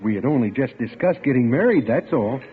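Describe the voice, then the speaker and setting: A man's voice: medium pitch, lively intonation, brisk, close to an everyday voice. An actor playing an earnest, polite bank clerk who narrates his own story and stammers when he gets flustered. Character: earnest male bank clerk hunting his missing fiancée. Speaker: narrator and lead. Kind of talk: 1940s radio acting. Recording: tinny old radio broadcast with music.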